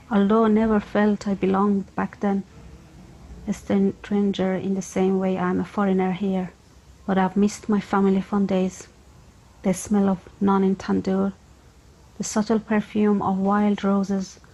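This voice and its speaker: A woman reciting a slow, measured spoken-word poem. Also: medium pitch, dark tone, dull audio. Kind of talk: slow, even spoken-word poetry over music.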